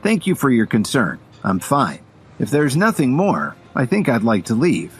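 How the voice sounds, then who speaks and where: A man playing a calm, defiant young hero in an audio drama, speaking in a firm, controlled way. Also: mid-range voice, moderate pace, breathy edge, soft background music. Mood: composed.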